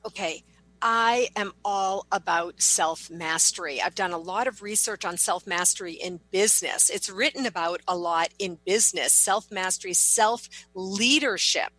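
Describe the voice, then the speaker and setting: A woman's voice: medium pitch, measured pace, fairly bright tone, rough recording. A woman author appearing as a guest on talk radio, speaking eagerly and with emphasis.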